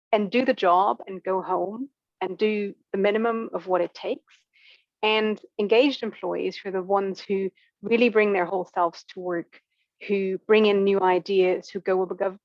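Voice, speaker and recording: A woman's voice: mid-range voice, a little mellow, no room sound, dull audio. Woman presenting a workshop over video call. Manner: conversational, frequent fillers. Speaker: trainer leading a workshop.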